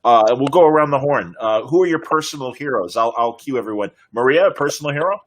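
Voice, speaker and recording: A man's voice: medium pitch, expressive, fast talker, rough recording. Male host, mid-range voice, informal panel moderation, some noise and clicking. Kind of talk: casual panel hosting.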